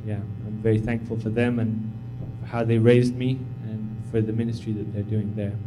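A man's voice: fairly low pitch, little pitch movement, moderate pace, music in the background, rough recording. A man in his thirties who grew up in Tanzania, giving an informal, humble sermon as a visiting missionary, encouraging and full of fillers. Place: church sanctuary.

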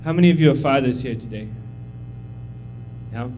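A man's voice: mid-range voice, normal speed, darkish tone, a little hiss or hum, low-quality recording. A man in his thirties who grew up in Tanzania, giving an informal, humble sermon as a visiting missionary, encouraging and full of fillers. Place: church sanctuary.

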